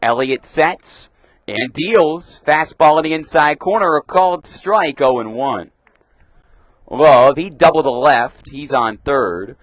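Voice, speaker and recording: A young man calling live baseball play-by-play for radio in a crisp announcer delivery, punching up the pitch calls. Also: fairly bright tone, no echo. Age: young adult. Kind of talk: live sports commentary.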